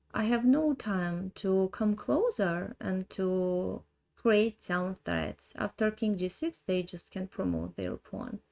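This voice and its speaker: A woman in her thirties with an Armenian accent, thinking aloud slowly in halting English as she works through chess puzzles on a live stream. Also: medium pitch, gravelly, a little mellow. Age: 30s.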